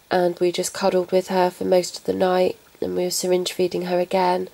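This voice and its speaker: Subdued woman with a cold-roughened voice. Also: fairly low pitch, poor audio. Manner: soft, halting, subdued. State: recovering from a cold. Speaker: pet vlogger. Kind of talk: casual talk to camera.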